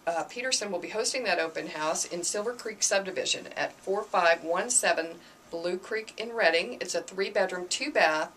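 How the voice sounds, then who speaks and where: A woman's voice: on the low side, normal speed, fairly bright tone, far from the mic. A woman co-hosts a talk radio show with casual chat and announcements. Her voice sits a little away from the microphone, with faint noise around it. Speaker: radio co-host.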